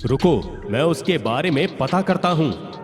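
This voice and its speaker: A man voicing a calm, fatherly village holy man in an animated moral story, advising in a measured way. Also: on the high side, soft background music. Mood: kindly.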